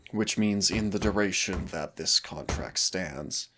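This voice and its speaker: A man playing a teasing but stern demon hunter in an audio roleplay, speaking deliberately with dramatic pauses in a gravelly, husky voice. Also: low voice, noisy background. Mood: grave, wry. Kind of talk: audio roleplay acting, intimate second-person dialogue.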